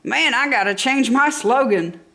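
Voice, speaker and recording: A woman's voice: high voice, a little slow, bright, forceful tone, voice pushed up, exaggerated voice. A woman voicing a good-natured male tow truck from a children's storybook, with folksy, drawling country talk. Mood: folksy, easygoing.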